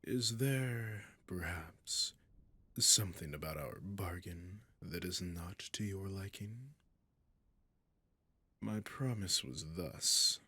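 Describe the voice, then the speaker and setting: A man playing a haughty, possessive dragon king in a roleplay audio drama, speaking in slow, deliberate, formal phrases with a breathy, husky voice that often drops near a whisper. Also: low-pitched, pushed and bright, no room sound, full character voice. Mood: cold, threatening. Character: imperious, possessive male dragon king, menacing and seductive.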